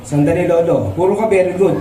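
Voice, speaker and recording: A man's voice: high-pitched, fast, dark tone, slight background noise. A man hosting a children's party puppet show with coaxing, repetitive comic patter and instructions. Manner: coaxing, instructing, repeats himself. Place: live children's birthday party. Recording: echoey live recording, mic far off.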